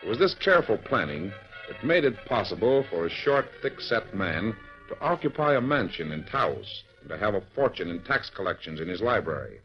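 A man's voice: lowish voice, sing-song, normal speed. A man narrating an old-time radio drama in a grand, theatrical, declamatory style. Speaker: announcer and narrator. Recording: dull vintage radio recording with music.

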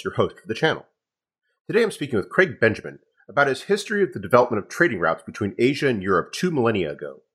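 Husky-voiced male podcast host. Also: lowish voice. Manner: calm, polite interviewer. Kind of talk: podcast interview.

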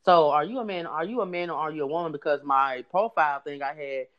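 A man with a high voice telling a story in casual chat, rambling with many restarts. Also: fast, highs cut off. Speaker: podcast guest telling a story.

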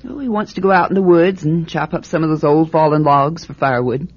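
A woman playing a caring, fussing farm mother in a radio drama, with a brisk, homely way of talking. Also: sits low, natural voice. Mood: matter-of-fact. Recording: dull radio recording, faint noise.

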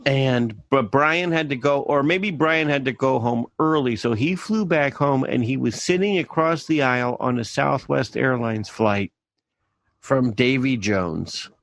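Animated swearing male storyteller, dry mic. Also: medium pitch, a little slow, gravelly, dry recording. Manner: animated, swears freely, acts out quoted voices. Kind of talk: casual comedic storytelling.

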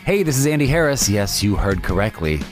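A man's voice: on the low side, sing-song, fairly quick. A man reading a playfully boastful scripted promo bumper. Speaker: podcast guest giving show promo. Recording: guitar music behind the voice. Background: guitar music.

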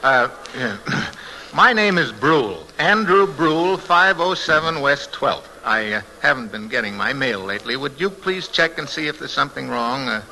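Bright, animated 1940s radio sleuth husband. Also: male voice, medium pitch, moderate pace, creaky, slight background noise, heavily acted, rough recording. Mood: bluffing, thoughtful. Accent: American. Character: brash, wisecracking amateur sleuth husband. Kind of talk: 1940s radio mystery acting. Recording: old radio drama with music.

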